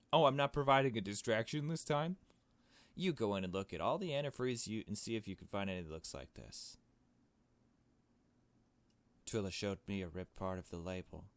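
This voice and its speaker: Give me a deep-voiced man playing through a visual novel, reading it out conversationally with wry little asides. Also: fairly bright tone. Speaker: gaming video host. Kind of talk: casual playthrough commentary mixed with reading visual novel text aloud.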